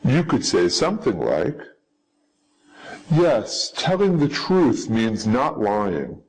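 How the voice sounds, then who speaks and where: A man reading model answers slowly and carefully in a scripted lesson. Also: lowish voice, wildly swooping pitch, a little mellow, poor audio. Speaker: English teacher.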